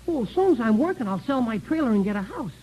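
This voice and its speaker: A man's voice: very high-pitched, sing-song, fast, mellow, slight background noise, rough recording. An actor playing a talkative, down-on-his-luck American janitor and father in early 1950s radio comedy-drama, rambling, stammering and self-deprecating. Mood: earnest, hopeful.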